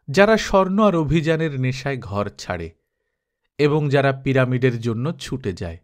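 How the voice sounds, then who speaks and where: A man reading literary fiction as a scripted audiobook narration. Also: medium pitch.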